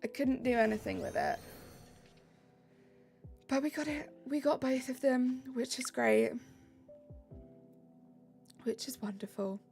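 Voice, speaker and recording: Woman, British accent, casual stream chat, bubbly, gravelly fry, music faintly behind. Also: high voice, animated, slow, long pauses, darkish tone. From Britain. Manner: chatty and bubbly, reacts to chat messages. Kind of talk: casual live-stream chat, reading chat aloud.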